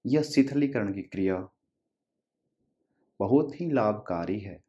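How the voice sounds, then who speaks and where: A man with a low, mellow voice leads a guided yoga relaxation. He speaks very slowly, in short instructive phrases with long pauses between them. The sound is slightly dull and lo-fi. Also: expressive.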